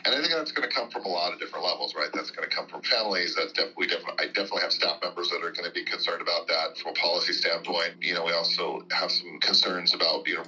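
A man's voice: deep voice, sharp tone, slight background noise, poor audio. An American man answering interview questions off the cuff as an official, hedging and often saying "you know". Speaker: school district official being interviewed.

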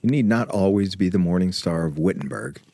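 An actor playing a tender, devoted husband and scholar in a radio drama, speaking gently and earnestly. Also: male voice, low-pitched, expressive, fairly quick, slight background noise. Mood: tender, teasing.

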